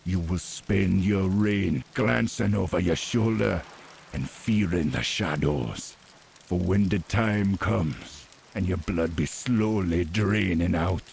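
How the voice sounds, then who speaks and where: Deep gravelly troll chief, caribbean accent, coldly defiant, ominous game cutscene voice. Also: male voice, a little mellow, slight background noise, exaggerated voice. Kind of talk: video game cinematic acting.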